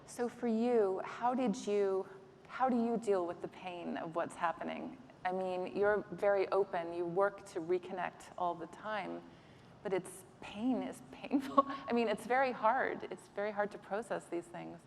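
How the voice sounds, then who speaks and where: A woman's voice: medium pitch, a little slow, creaky. A woman interviewer asking questions conversationally on stage at a live event. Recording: echoey hall, faint background noise.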